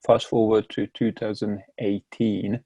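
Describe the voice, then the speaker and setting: A man's voice: fairly low pitch, little pitch movement, unhurried, mellow, slightly rough, no room sound. A man in his thirties from Hungary with a Hungarian accent, speaking English as a second language and telling his story as a podcast guest, often repeating words as he searches for them. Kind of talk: casual interview talk.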